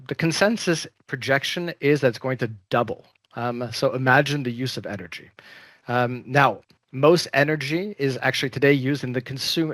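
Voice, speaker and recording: A man moderating a panel discussion, opening with remarks in a measured way and many hesitations. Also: mid-range voice, a bit bright, dry recording. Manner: measured, with frequent um fillers.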